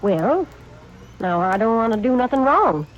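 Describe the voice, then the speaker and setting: An actress playing a thrifty, suspicious spinster who owns a boarding house, in a 1950s radio drama. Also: female voice, on the high side, sing-song, unhurried, slight background noise. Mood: indignant. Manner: folksy, indignant, drawling country talk. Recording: dull old radio broadcast recording.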